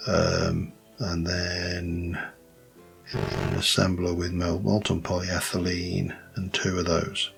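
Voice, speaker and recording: A man with a light Yorkshire accent, rambling through casual gameplay commentary with many pauses and restarts. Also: deep voice, completely flat delivery, creaky, fairly bright tone, soft background music. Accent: light Yorkshire English. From Yorkshire, England. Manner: rambling, thinks aloud with many ums and restarts. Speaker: let's play host.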